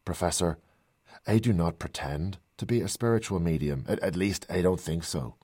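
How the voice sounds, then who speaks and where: A man's voice: low-pitched, normal speed, mellow, breathy edge, no room sound, studio quality. A man voicing a young woman who speaks in an earnest, hesitant and wistful way, reading her lines in a storybook.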